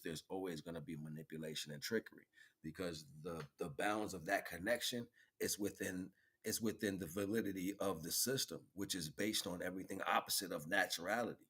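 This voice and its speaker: A man with a very low voice talks as a spiritual streamer. He rambles philosophically, pausing and circling back, and drops colloquial slang between lofty phrases and questions to the listener. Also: a bit bright, husky. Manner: philosophical, wordy, halting, with rhetorical questions. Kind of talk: rambling spiritual monologue to an audience.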